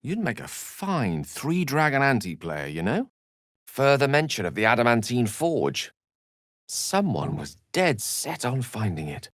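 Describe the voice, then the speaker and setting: An actor voicing a learned, courteous wizard in a video game, with eloquent, courtly phrasing and wry asides. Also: male voice, mid-range voice, wildly swooping pitch, measured pace, fairly bright tone, dry recording, clean studio sound. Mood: musing. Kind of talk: video game character voice lines.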